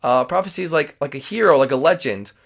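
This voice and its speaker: Young cartoon hero, chatty, easily startled, lively creaky delivery; a woman plays it. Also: low voice, fast, heavily acted. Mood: casual.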